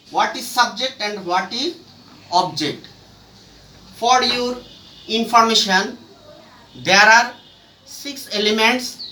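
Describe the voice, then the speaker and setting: A man with a Bangladeshi accent giving a formal classroom lecture as a teacher, in a deliberate, halting phrase-by-phrase way, recorded in an echoey room. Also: high-pitched, sharp tone. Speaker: English grammar teacher. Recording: echoey room, distant mic, faint noise.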